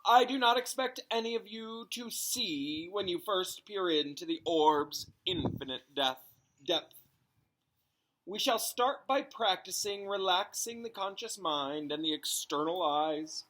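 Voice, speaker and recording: Casting: male reader as misty, dramatic female seer and teacher, raised pitch, stretched airy phrasing, storybook character. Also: lively intonation, unhurried, fairly bright tone, poor audio. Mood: dreamy. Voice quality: misty, breathy delivery. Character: misty, dramatic woman fortune-telling teacher, theatrical and dreamy.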